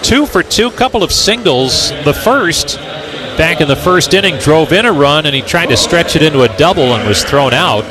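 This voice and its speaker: A man's voice: a little high, animated, normal speed, crowd noise. An American man calling a baseball game as a radio play-by-play announcer. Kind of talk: live radio sports commentary. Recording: clear background noise from outdoor ballpark.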